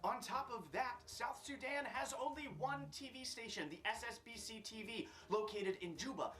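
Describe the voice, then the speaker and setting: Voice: medium pitch, normal speed, fairly bright tone. A narrator reading a scripted explainer in a wry, tongue-in-cheek way. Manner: wry, tongue-in-cheek delivery with thumbs ratings.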